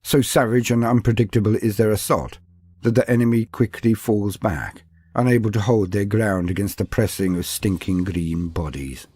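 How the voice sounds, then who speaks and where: A man's voice: fairly low pitch, steady tone, moderate pace, warm tone, husky, dry recording. A man reading scripted fantasy battle lore as a narrator, with a grave, theatrical delivery. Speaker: narrator of a fantasy war-game lore video. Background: music.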